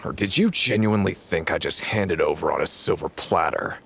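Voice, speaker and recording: An actor playing a cocky, mocking male gang thug in a video game, with a gravelly voice. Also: deep voice, brisk, a bit bright, slight background noise. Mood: scornful. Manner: mocking, taunting. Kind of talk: video game character acting.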